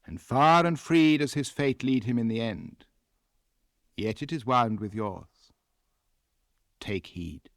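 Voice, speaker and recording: An English man reads the part of a wise elven queen from legend, with an English accent. The counsel is given slowly and gravely, hushed and full of foreboding. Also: medium pitch, dry recording, clean studio sound. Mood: grave warning.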